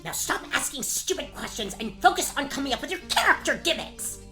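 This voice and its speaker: Lady as mean stepmom, bossy n scornful, super animated, comedy radio play. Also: high voice, brisk, faint music behind, heavily acted. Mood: impatient, scornful. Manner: curt, scornful, commanding. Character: callous, showbiz-obsessed evil stepmother, bossy and impatient. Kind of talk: comedic gag-dub radio play acting.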